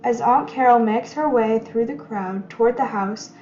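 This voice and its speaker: Echoey, higher-voiced woman narrator. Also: moderate pace, warm tone. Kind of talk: scripted recap narration for a radio drama. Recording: echoey, muffled, noticeable background noise.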